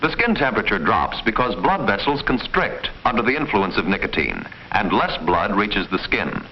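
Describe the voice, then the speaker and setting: A man reading formal, measured, didactic scripted narration in the style of a mid-century educational film. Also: lowish voice, very animated. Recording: muffled, noisy old film soundtrack.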